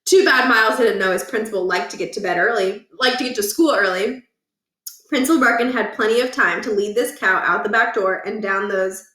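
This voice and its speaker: A woman reading a children's book aloud in an animated storytelling style. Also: medium pitch, a little fast, reverberant, far from the mic, rough recording.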